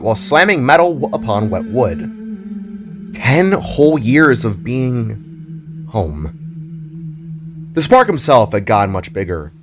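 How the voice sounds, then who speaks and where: A man narrating a scripted dramatic story in a theatrical, storybook manner. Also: medium pitch. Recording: music under the voice. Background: background music.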